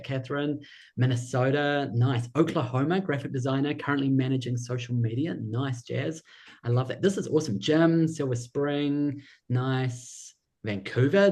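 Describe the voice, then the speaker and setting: A man's voice: on the high side, darkish tone. Upbeat New Zealand man hosting. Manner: enthusiastic, reads out chat messages. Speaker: webinar host and business coach. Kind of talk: upbeat live webinar hosting.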